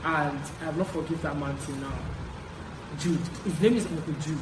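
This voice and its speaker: A woman's voice: sits low, brisk. Young Nigerian woman vlogging in an echoey room. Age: young adult. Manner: animated, chatty, repeats phrases for emphasis. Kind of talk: casual confessional storytelling. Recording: echoey room with clear background noise.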